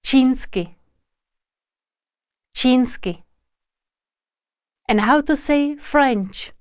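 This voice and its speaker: A woman teaching a scripted language lesson, repeating each word slowly with pauses. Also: high-pitched, clear, resonant tone, no echo, clean studio sound. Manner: repeats each word slowly and deliberately, pausing between repetitions.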